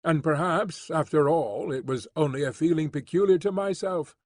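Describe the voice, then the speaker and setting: A man narrating an audiobook with measured, expressive storytelling. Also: fairly high pitch, no room sound, clean studio sound. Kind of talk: scripted narration.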